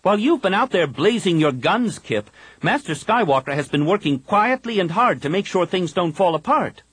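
Quick-tempered young heroine, done by a man, husky edge, dry booth sound. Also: high-pitched, big pitch swings, no echo, voice pushed up, heavily acted. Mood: heated. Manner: sharp, heated. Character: fiery young woman Jedi, hot-tempered.

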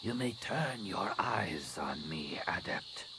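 Man voicing a slow, stern female alien master. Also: low voice, animated. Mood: commanding. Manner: slow, hushed, deliberate, imperious. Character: stern female alien master scientist nearing middle age. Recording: clearly noisy recording.

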